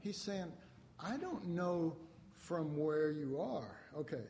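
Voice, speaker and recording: A man's voice: on the high side, big pitch swings, slow pace, dark tone, big-room sound, slight background noise. A man preaching a sermon, reading scripture and explaining it in a conversational way, acting out quotes with rising emphasis.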